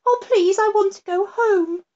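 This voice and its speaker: A woman's voice: high voice, big pitch swings, measured pace, pitched above the performer's own voice. A woman voicing a frightened, polite little girl who pleads anxiously. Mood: pleading. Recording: dull lo-fi recording.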